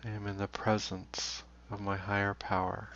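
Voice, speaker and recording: A man leading a guided meditation in a slow, deliberate way, leaving long pauses. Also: on the low side, completely flat delivery, a bit bright, a little hiss or hum. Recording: dull, roomy recording.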